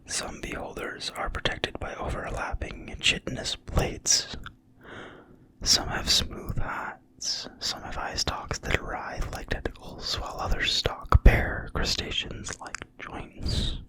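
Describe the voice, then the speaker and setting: A narrator reading from a book entirely in a quiet, breathy whisper, slowly and deliberately, in ASMR style. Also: poor audio. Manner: slow, deliberate, hushed reading with pauses. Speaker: narrator reading from a fantasy game manual.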